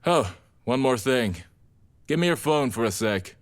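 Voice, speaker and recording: Man playing chill friendly young vampire dude, slangy, mid pitch, audio drama. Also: expressive, measured pace, natural voice. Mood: friendly, easygoing. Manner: casual slangy talk. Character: laid-back, friendly young male vampire gang member.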